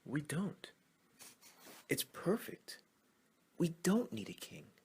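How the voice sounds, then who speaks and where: A man voicing excitable, emphatic frogs in a storybook tale. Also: fairly high pitch, slow, breathy edge, pitched above the performer's own voice, exaggerated voice. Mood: insistent. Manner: exclamatory, emphatic. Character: excitable frogs of a pond, pleading and shouting. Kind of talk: storybook character voices.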